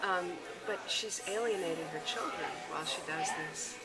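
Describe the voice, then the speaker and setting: A woman's voice: fairly low pitch, moderate pace. A woman interviewing an actress about her film, asking questions with hesitant fillers. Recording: loud music behind.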